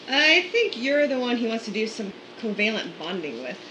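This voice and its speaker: A man's voice: very high voice, big pitch swings, normal speed, bright, forceful tone, roomy sound, slight background noise, heavily acted, rough recording. A man voicing a tough young woman student who speaks in a wry, dry way. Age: young adult.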